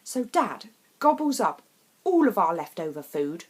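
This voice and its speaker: A woman reads a rhyming children's picture book in a theatrical, deliberate and emphatic way. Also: lowish voice, sing-song, slightly rough. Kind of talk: expressive rhyming storybook narration.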